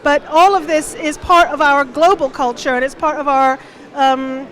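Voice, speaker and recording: Chatty high-voiced woman. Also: steady tone, normal speed. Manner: chatty, hesitant fillers. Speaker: visitor. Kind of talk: casual interview.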